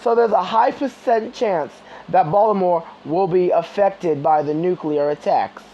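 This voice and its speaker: A person reading a scripted emergency broadcast in a deliberate, formal announcing manner. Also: medium pitch, warm tone, lo-fi sound.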